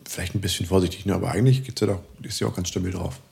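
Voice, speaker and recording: A man's voice: sits low, fairly even intonation, racing, gravelly, darkish tone. A man chatting casually and humorously as a podcast co-presenter.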